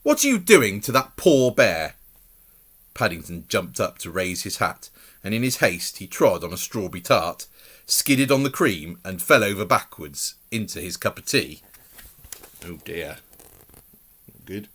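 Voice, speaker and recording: A man reading a picture book aloud to children in an expressive way, adding explanations and questions for the young listeners. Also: low-pitched, unhurried.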